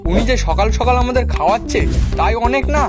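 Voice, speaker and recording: An appreciative, outspoken cartoon customer played by a woman speaks in a raised, high register. Music plays clearly behind the voice. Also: animated, quick pace, close to an everyday voice. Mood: chiding. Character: appreciative customer who scolds fussy companion. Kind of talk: cartoon story dialogue.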